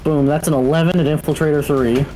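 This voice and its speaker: A man with a fairly high voice takes part in a tabletop game as a player. His talk is casual, and music plays behind him. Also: steady tone, fast talker, mellow, low-quality recording.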